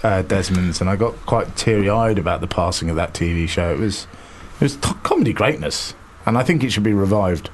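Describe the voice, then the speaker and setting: Deep-voiced dry-witted man. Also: expressive, a little fast, slight background noise. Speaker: comedian, panel guest. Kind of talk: casual panel chat.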